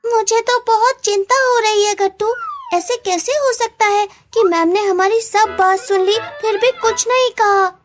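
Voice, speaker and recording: A woman's voice: very high voice, fairly even intonation, unhurried, soft background music. A woman voicing an earnest, anxious young schoolchild in a children's cartoon. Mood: worried, guilty.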